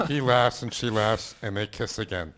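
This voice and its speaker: A man flatly reading the stage directions of a play. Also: medium pitch, a little slow.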